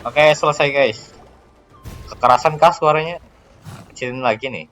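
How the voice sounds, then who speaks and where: A young man with an Indonesian accent doing casual let's play commentary, informal and quick to exclaim. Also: medium pitch, fairly bright tone, highs cut off, faint music behind. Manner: informal, reacting aloud with short exclamations. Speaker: gaming video host.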